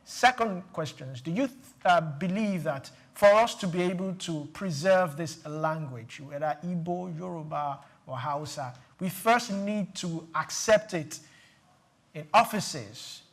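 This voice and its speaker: A man's voice: fairly high pitch, a little slow. A man asking a guest a two-part question, setting out items one by one. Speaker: interviewer. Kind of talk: interview questions.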